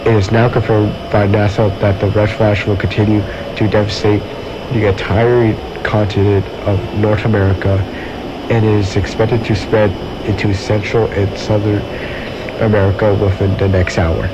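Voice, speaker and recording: A man's voice: lowish voice, level tone, moderate pace, lightly acted. A man playing a grave emergency alert announcer, reading a formal scripted disaster bulletin. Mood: grave, urgent. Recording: dull lo-fi audio with loud noise.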